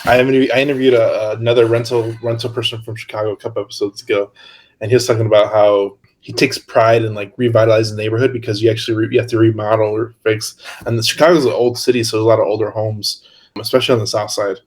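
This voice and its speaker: A man from Indiana hosting a podcast, chatty and relaxed, laughing often between remarks. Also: fairly low pitch, fast talker. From Hammond, Indiana. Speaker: podcast host. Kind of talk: casual podcast chat.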